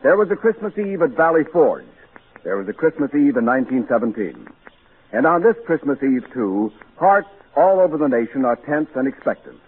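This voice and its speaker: An American man announcing on 1940s radio in formal, measured scripted narration, on a tinny old recording. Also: mid-range voice, sing-song, husky.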